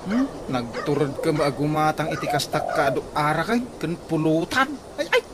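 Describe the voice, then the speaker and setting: Guy doing joker coworker pal, ilocano accent, slightly high voice, loud background noise n cooing birds. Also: lively intonation, moderate pace, lightly acted. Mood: mocking. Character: teasing, married male workmate and drinking buddy. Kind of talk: Ilocano radio comedy drama acting. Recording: very noisy, cooing birds behind.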